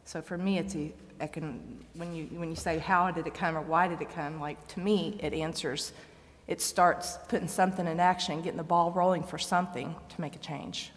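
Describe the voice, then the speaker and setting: A woman's voice: sits low, steady tone, moderate pace. A female council member giving informal, earnest, plain-spoken remarks at a public meeting. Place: large indoor council chamber. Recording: reverberant meeting hall.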